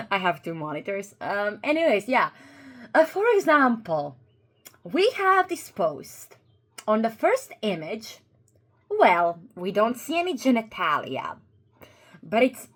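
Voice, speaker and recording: Slow-talking Croatian woman, halting and earnest. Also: medium pitch, fairly bright tone. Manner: halting, many pauses and restarts, earnest. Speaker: YouTuber. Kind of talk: commentary vlog. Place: at a desk with two monitors.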